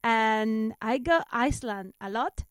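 High, clear-voiced Spanish woman. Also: lively intonation, slow pace, no room sound, clean studio sound. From Spain. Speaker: stand-up comedian, interview guest. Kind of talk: casual chat, telling anecdotes.

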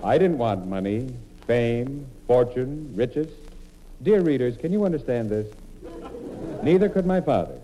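A man's voice: mid-range voice, expressive, a little slow, warm tone. A man performing scripted comedy narration on old-time radio, telling his story in a mock-earnest way. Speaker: comedian and monologist. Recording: noisy old radio broadcast recording.

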